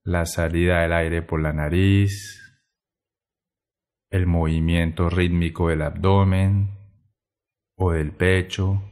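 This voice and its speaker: A man guiding a meditation in a gentle, measured way, pausing between short phrases. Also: low-pitched. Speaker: meditation guide. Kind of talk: guided meditation narration.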